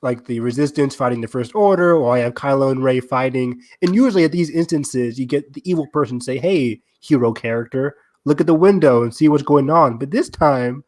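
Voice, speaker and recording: A man's voice: mid-range voice, a little fast, few pauses, darkish tone. A man co-hosting a fan podcast, talking in a casual, conversational way. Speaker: podcast co-host.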